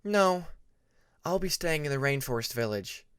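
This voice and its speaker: A man's voice: on the high side, lively intonation, moderate pace, fairly bright tone, clear, resonant tone, dry recording, studio quality. A man voicing a grown female dragon, a kindly and reassuring friend, in a narrated story. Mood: affectionate.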